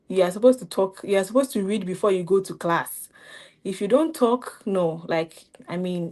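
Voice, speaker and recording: A young woman from Ghana with a Ghanaian accent, a grad student chatting in an interview, animated and laughing often as she acts out quotes. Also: lowish voice. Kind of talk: casual interview chat.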